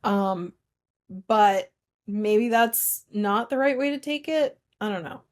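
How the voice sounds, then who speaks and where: A woman co-hosting a casual film discussion, recapping in an informal, conversational way with animated retelling. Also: mid-range voice, slow. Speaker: podcast co-host.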